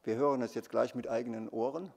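Male lecturer, fifties, mid-pitched, formal and measured scripted delivery, big echoing theatre sound. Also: fairly even intonation, creaky, a little mellow. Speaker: academic lecturer. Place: large dark theatre stage at a lectern. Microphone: lectern microphone. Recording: long hall reverb.

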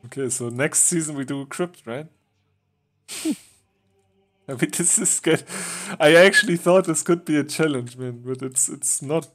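A man casually chatting with a friend while they play a game together, relaxed and joking, laughing now and then. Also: on the high side, measured pace. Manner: relaxed, joking, chuckles often. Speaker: game streamer. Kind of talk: casual gaming chat with a friend.

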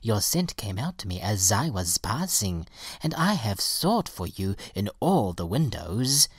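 A woman's voice: rumbling low, big pitch swings, measured pace, slightly rough, no room sound, clean studio sound, exaggerated voice. Lady doing a nice polite mister bee for a kids story, eager, bouncy intonation. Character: friendly, courteous male bee.

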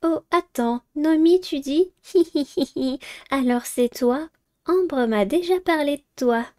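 A woman plays a catty high-school girl from a dating game. The voice is pitched high, giggly and mocking. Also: measured pace, dark tone, dry recording, clean studio sound.

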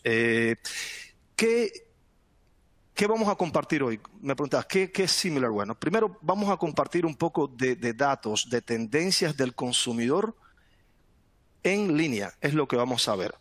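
A middle-aged man from Cuba giving a webinar presentation over a headset from home, relaxed, often repeating words and adding "¿no?". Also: medium pitch, moderate pace, no room sound. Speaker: guest speaker, sales manager. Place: home room indoors. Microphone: headset on video call.

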